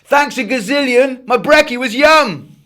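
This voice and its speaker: Man voicing plucky small boy, high pitch, excitable, exuberant, storybook character. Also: unhurried, full character voice. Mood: excited delight. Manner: exuberant, exclaiming.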